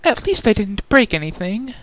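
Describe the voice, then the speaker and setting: A man's voice: high-pitched, very animated, moderate pace, bright, forceful tone, no echo, pitched above the performer's own voice, full character voice. A man voicing a dramatic, fussy young female pony with a theatrical, self-scolding manner in a story reading. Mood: nervous.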